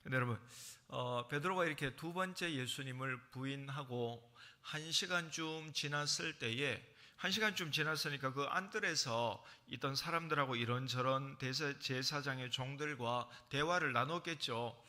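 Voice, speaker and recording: Bright-voiced Gyeongsang-accented pastor preaching. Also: male voice, mid-range voice, normal speed. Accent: Gyeongsang Korean. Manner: animated storytelling, acting out scenes. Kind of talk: sermon.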